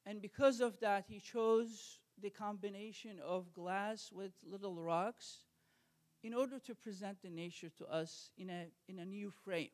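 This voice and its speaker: A man from Turkey with a Turkish accent, hosting an art exhibit reception and giving an earnest, halting welcome speech at a school gallery. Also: sits high. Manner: earnest, halting, many fillers and restarts.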